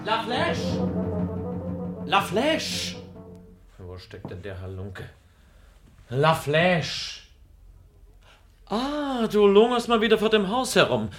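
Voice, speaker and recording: An actor playing an impatient, indignant young gentleman in a classic German radio comedy, husky, curt and theatrical. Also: high-pitched, wildly swooping pitch, takes it slowly, long pauses, faint music behind, heavily acted. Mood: urgent.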